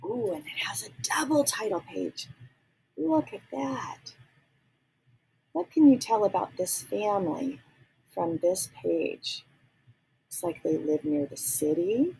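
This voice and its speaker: A woman reading a children's picture book aloud, pausing to ask young listeners gentle teacherly questions and dropping into soft whispers. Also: medium pitch, expressive, creaky, a little hiss or hum, low-quality recording. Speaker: read-aloud storyteller.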